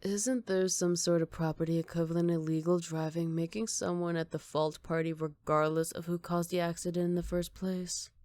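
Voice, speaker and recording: Woman playing a soft, low-voiced flirty female villain. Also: dry recording, clean studio sound, lightly acted. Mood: sly, playful. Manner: sly, unhurried, mock-polite. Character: flirty, teasing, self-assured female villain in custody. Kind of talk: ASMR roleplay.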